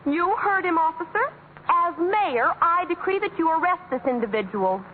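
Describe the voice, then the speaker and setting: A woman's voice: extremely high pitch, lively intonation, normal speed, slight background noise. An actress playing a prim, self-important teenage girl acting as mayor in a radio sitcom, speaking in a haughty, formal way. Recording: tinny old radio broadcast.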